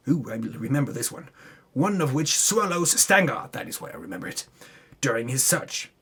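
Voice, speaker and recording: A man with a husky voice doing a theatrical, tongue-in-cheek dramatic reading of a tabletop campaign recap, with wry asides. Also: medium pitch, fairly bright tone.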